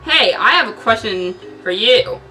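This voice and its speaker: A woman's voice: fairly high pitch, animated, unhurried, pushed and bright, distant mic, faint music behind, rough recording. A woman voicing a brash, rude, drunken male boss in a comic story, blunt and dismissive. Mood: cocky, needy. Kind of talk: comic amateur storybook reading.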